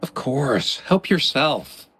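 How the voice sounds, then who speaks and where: A man playing a thoughtful, chatty young shopkeeper in a video game, musing and deliberate. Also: mid-range voice, big pitch swings, sharp tone, slight background noise, exaggerated voice. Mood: indignant, firm.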